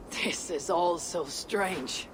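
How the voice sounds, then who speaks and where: Slow, higher-pitched pensive Viking man. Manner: reflective, questioning. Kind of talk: video game cinematic acting. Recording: clear ambient noise behind.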